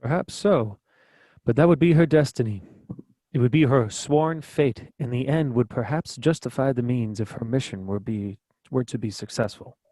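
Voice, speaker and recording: A man's voice: medium pitch, normal speed, mellow, no room sound, natural voice. A man playing a solemn, prophetic archangel in a play table read, speaking in a grave, declamatory manner. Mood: earnest, solemn. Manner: grave, declamatory, occasionally stumbling over the script.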